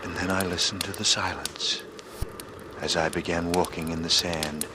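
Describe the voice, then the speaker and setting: A man's voice: sits low, fairly even intonation, moderate pace, close to an everyday voice. An actor playing a brooding, bitter man with murder hidden behind a casual front, in a 1940s radio drama. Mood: brooding, haunted. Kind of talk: 1940s radio drama acting, first-person narration and dialogue. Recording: noisy old radio broadcast recording.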